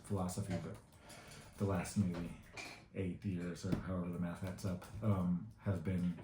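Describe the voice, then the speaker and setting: Мужчина за тридцать, низкий голос, гулкая комната. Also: male voice, low voice, level tone, normal speed. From Guatemala. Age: 30s. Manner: hesitant, many fillers and restarts. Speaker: interviewee. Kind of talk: casual interview answers. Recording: echoey room with faint noise.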